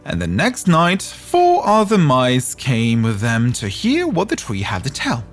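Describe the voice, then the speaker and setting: Very animated male fairytale storyteller. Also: medium pitch, unhurried, soft background music. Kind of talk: expressive scripted fairytale narration.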